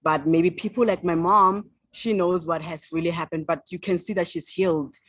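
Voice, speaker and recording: South African woman in her twenties, upbeat guest author, low-ish pitch, dry recording. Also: fairly even intonation, normal speed, no echo. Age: 20s. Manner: enthusiastic, upbeat, laughs easily. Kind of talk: casual interview chat.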